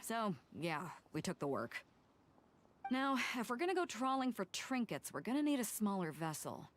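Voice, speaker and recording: Brash, lively female sea captain, acted by a woman. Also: mid-range voice, normal speed, a little hiss or hum, lightly acted. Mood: breezy, wry. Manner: breezy, assertive, wry. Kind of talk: video game voice acting.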